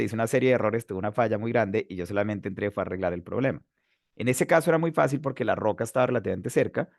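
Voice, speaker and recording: A man's voice: on the low side, vocal fry, no echo, studio quality. A man in his forties from Bogotá with a Colombian accent, lecturing in a webinar, hesitant with many fillers and restarts. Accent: Bogotá Colombian Spanish. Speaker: webinar speaker, geotechnical engineer.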